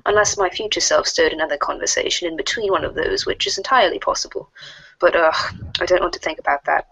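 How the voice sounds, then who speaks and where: A woman playing a formal, stiffly scheming female alien troll in a comic readers theater, speaking in wordy, deliberate sentences. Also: fairly low pitch, creaky, a bit bright, slightly rough, dry recording, exaggerated voice, low-quality recording. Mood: matter-of-fact, scheming. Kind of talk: readers theater of web comic chat logs.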